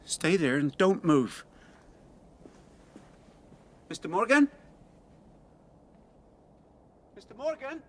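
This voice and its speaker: An actor playing a rueful rural man in a naturalistic radio drama, telling his memories in a halting, conversational way. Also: sits high, very animated, fairly bright tone, full character voice. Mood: alarmed. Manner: halting, reflective, conversational storytelling. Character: rueful rural man recalling an old friend's tragedy.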